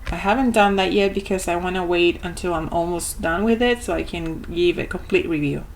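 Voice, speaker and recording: A woman's voice: medium pitch, a little hiss or hum. A young woman from Argentina, a native Spanish speaker with an Argentine Spanish accent, showing a homeschool reading curriculum page by page in a chatty way, with many ums and restarts. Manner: chatty, with frequent ums and self-corrections. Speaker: homeschooling parent reviewing a curriculum. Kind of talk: casual product walkthrough.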